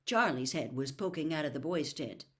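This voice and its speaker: A woman reading a storybook aloud as an expressive narrator of scripted fiction. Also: low-pitched, moderate pace. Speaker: audiobook narrator.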